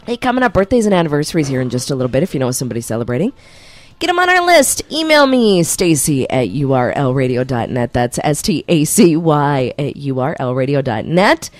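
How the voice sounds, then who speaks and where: A woman hosts a morning radio show in a dry studio. Her voice sits low but moves in lively swings. She is chatty and losing her voice. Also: no echo, soft background music. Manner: chatty, quick to laugh. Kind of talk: casual morning radio banter. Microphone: studio microphone.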